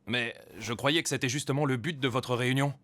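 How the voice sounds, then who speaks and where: A man playing a stern, excitable policeman in a French cartoon dub. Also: medium pitch, a little fast, faint music behind, lightly acted. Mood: exasperated.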